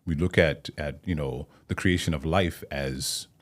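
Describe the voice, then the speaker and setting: Deep-voiced man from Hawaii, reflective. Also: fairly even intonation, measured pace. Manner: thoughtful, explains at length with restarts. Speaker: guest actor and playwright. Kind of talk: conversational podcast interview.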